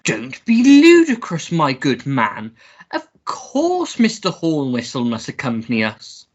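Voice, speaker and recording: A woman playing a foppish, childish upper-class English gentleman in a comic melodrama radio play, pompous and theatrical. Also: rumbling low, wildly swooping pitch, a little slow, muffled recording, heavily acted. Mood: huffy, indignant. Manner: pompous, theatrical, babyish gushing.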